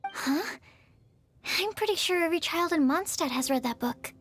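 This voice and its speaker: An easily surprised young woman in a fantasy game. Her voice is high, animated and full of wonder, with faint music underneath. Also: normal speed. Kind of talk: video game voice acting.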